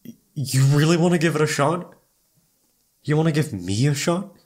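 A man playing a self-doubting, lovestruck best friend in an intimate ASMR roleplay, speaking softly and haltingly with tender hesitation. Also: on the high side, expressive. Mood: tender, shy. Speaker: amateur voice actor.

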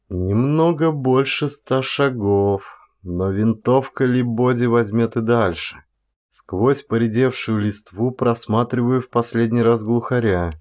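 Man narrating an expressive dramatic audiobook. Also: fairly low pitch.

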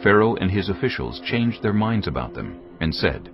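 Brief: male narrator, very deep voice, grave and measured, loud score, muffled. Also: very animated. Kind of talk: scripted Bible narration. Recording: dull sound under loud music.

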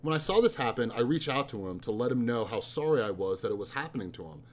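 A man's voice: mid-range voice, fast talker. Man reading his own book aloud. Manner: steady, subdued reading. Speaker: author.

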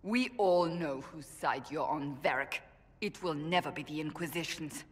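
A woman's voice: sits low, moderate pace, lightly acted. A woman playing a fierce, devout, hot-tempered warrior in a video game, speaking with formal intensity and blaming herself. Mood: angry, accusing. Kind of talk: dramatic video game acting. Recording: long hall reverb.

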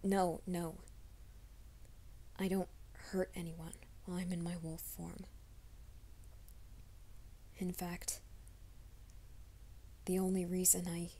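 Woman doing a soft whispery werewolf guy, nervous but sweet, low dark voice, slow and flat. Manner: soft, hushed, often whispering. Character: gentle young male werewolf, nervous and reassuring. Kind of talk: ASMR roleplay.

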